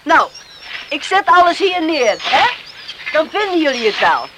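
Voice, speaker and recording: A woman's voice: sits high, big pitch swings, measured pace. An actress playing a caring farm mother of two grown sons in a radio play with some background noise. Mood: motherly. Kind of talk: radio drama dialogue. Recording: noisy old radio play recording.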